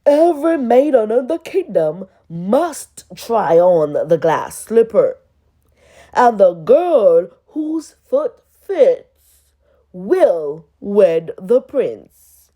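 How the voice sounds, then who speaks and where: Woman as a pompous, animated royal herald. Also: medium pitch, drawn-out words, full character voice. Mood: formal, declaring. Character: pompous royal herald making a solemn proclamation. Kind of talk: storybook read-aloud character voice.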